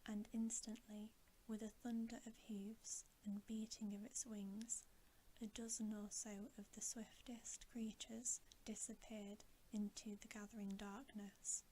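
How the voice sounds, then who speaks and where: A woman reading a story aloud in a soft, breathy ASMR whisper, in a measured way. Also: medium pitch, level tone, slight background noise, poor audio. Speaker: ASMR storybook reader, channel host.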